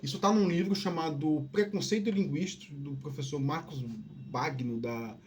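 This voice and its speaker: A man from Northeast Brazil with a softened Northeastern Brazilian accent, talking in a rambling casual solo monologue full of restarts and tag questions. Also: fairly high pitch, normal speed. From Northeast Brazil (Piauí family). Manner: rambling, many restarts and "né?" tags. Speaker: podcast host.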